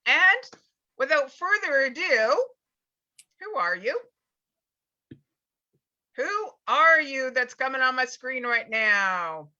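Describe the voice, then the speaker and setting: A woman's voice: high voice, expressive, slow pace, long pauses, sharp tone, highs cut off. A woman hosting a live online business interview show, upbeat and asking her guest direct questions over a video call.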